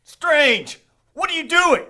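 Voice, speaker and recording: An actor playing a suspicious, sarcastic 1940s police lieutenant who needles and accuses in a noir radio drama. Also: male voice, very high-pitched, very animated, slow pace, sharp tone, exaggerated voice, low-quality recording. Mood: stern, accusing. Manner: pointed, sarcastic.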